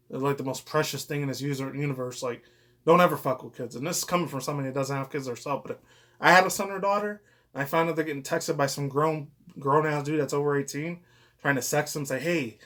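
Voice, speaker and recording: Slightly high-voiced man, rambling and profane. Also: fairly bright tone. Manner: profane, slangy, digressive. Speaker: commentary vlogger. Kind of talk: rambling casual news commentary.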